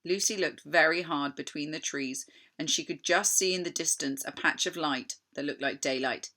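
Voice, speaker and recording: A woman reading a children's story aloud in an expressive narrating style. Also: low-pitched, moderate pace. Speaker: storybook reader.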